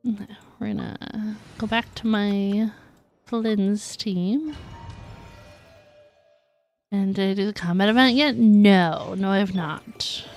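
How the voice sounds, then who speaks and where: A woman streams a video game and chats casually as she plays. Her manner is chatty and giggly, at an average pitch, with music playing behind her. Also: steady tone, takes it slowly, pauses often, a little mellow. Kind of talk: casual livestream chat over gameplay.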